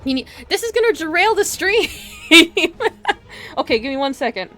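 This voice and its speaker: A woman hosting a game livestream, chatting casually and reading story narration aloud in an animated, giggly way. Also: high-pitched, normal speed, faint music behind. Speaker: livestream host playing a visual novel. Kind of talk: casual stream chat and dramatic read-aloud.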